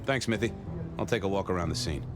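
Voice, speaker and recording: A noir detective acted by a man in a video game, low-voiced and laconic. He talks in colloquial, drily humorous turns, like a hardboiled narrator, over clearly audible music. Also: fast talker, natural voice. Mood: businesslike, dry. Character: wry, folksy noir police detective with dry humour.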